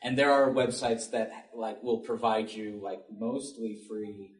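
A man's voice: lowish voice, fairly even intonation, unhurried, far from the mic. A man chatting casually as a panelist who makes an audio drama podcast. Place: public library panel room. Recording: roomy library panel, off-mic.

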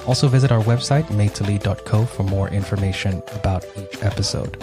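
Upbeat podcast host, low voice. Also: male voice, fairly quick, music in the background. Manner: upbeat, with many fillers when unscripted. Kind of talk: scripted intro, then casual interview.

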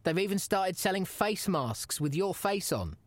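A man's voice: sits high, lively intonation, a little slow, no room sound. An actor playing an exasperated, mock-serious podcast interviewer pressing his wrestler friend in an audio comedy, insistent and pleading. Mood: concerned. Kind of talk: comedy audio drama dialogue.

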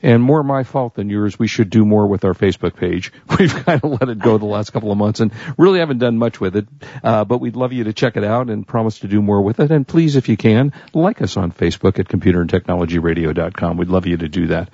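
An American man hosting a radio show in casual chat, talking in a halting, wordy way and often repeating himself. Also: on the low side, gravelly, dark tone, no room sound, muffled recording.

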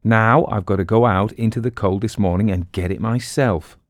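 A smooth-talking know-it-all con man, bossy and breezy, voiced by a man reading an audiobook. The voice is gravelly and sits low, taken close in a dry room. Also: normal speed, no echo, lightly acted. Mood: bossy complaining. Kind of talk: audiobook character voice.

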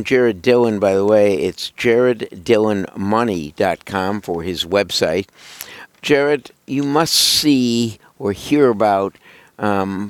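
A man past sixty hosts a health podcast, his voice hoarse yet smooth and resonant. He talks at an unhurried, slow pace, wandering into asides. The sound is dry and close. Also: fairly low pitch, no echo. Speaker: podcast host. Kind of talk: casual radio talk with ad read.

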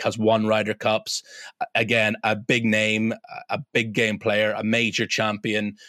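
Blunt low-voiced man with emphatic delivery. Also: fairly bright tone, dry recording, studio quality. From Europe. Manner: emphatic and blunt, with casual swearing. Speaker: podcast co-host. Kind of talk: casual sports roundtable chat.

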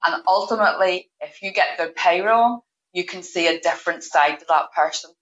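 A woman's voice: lowish voice, normal speed, fairly bright tone, dull audio, slight background noise. A woman guest in an interview, explaining her views in an earnest, thoughtful way. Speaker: guest payroll manager. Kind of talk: interview answers.

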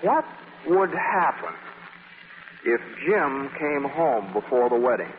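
Wry, hesitant magazine writer, old radio. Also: male voice, medium pitch, very animated, takes it slowly. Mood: wry, scheming. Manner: hesitant, drawling, repeating himself. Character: wry, charming male magazine writer, adult. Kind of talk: 1940s radio acting. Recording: muffled, crackly 1940s radio recording.

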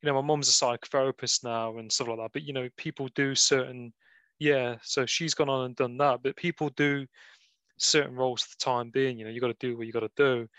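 A man's voice: medium pitch, steady tone, fairly quick, a bit bright, clean tone, no echo. Male, English accent with a Liverpool tinge, casual podcast host, mid-range voice, rambling, very little room sound. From Nottingham, English Midlands. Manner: rambling, hesitant phrasing with repeated filler phrases. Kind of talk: casual conversational interviewing. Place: online video call.

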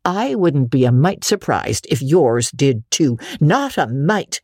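A woman's voice: deep voice, very animated, takes it slowly, darkish tone, breathy edge, no echo, studio quality, heavily acted. A woman voicing a kindly but officious older country woman, folksy, hearty and outspoken, in a storybook reading. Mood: hearty, forgiving. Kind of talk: dramatic audiobook reading.

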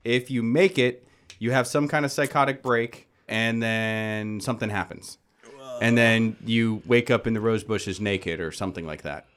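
A man's voice: on the low side, fairly even intonation, normal speed, fairly bright tone. A man working as a game master, explaining tabletop game rules in an informal way with wry asides.

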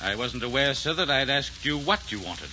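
A man's voice: medium pitch, sing-song, a little fast, close to an everyday voice. An actor playing a stern, pompous old French king and father in a 1940s radio drama. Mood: scornful. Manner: pompous, commanding. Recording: muffled 1940s radio recording, faint noise.

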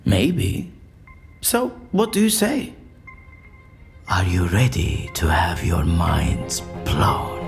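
A low, creaky voice over music: an eccentric male mystic of an audio drama, theatrical and cryptic. He swings widely in pitch and craves his freedom. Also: wildly swooping pitch, heavily acted. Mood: wry, calm. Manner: cryptic, theatrical, slow, whispery. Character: eccentric trance-prone man offering cryptic bargains, craving freedom.

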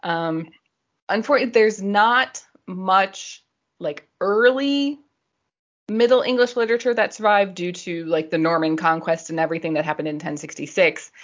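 A woman's voice: medium pitch, normal speed, dull audio. A woman podcast host chatting casually as a nerdy, giggly explainer who wanders off on digressions.

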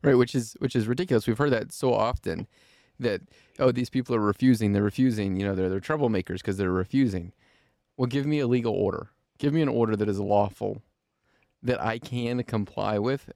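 Low, mellow-voiced male podcast host. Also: a little fast, no echo, studio quality. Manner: earnest, emphatic explaining. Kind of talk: interview podcast.

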